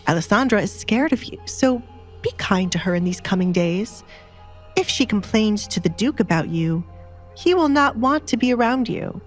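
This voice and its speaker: A woman's voice: medium pitch, animated, normal speed, faint music behind, voice pushed up, close to an everyday voice. A woman voicing a stern, scheming middle-aged nobleman in an audio drama, scolding and commanding.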